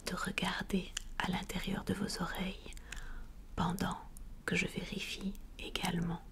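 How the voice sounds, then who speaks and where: A woman speaking softly and deliberately in an ASMR medical roleplay, playing a polite doctor who guides a patient step by step. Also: lowish voice, little pitch movement. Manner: soft, deliberate, formally polite, explaining step by step. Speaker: ASMR creator playing a doctor giving an exam.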